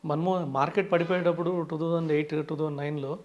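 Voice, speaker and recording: A man's voice: on the high side, flat delivery, quick pace, lo-fi sound. A man with a Telugu accent speaking as a finance expert in an interview, explaining things with English terms mixed in and often starting sentences with "so". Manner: frequently opens sentences with "so".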